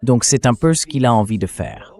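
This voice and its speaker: A male host delivers news commentary as a French voice-over, with a mid-pitched voice. He argues critically and rhetorically, with an incredulous edge. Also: sing-song, normal speed, gravelly, dark tone.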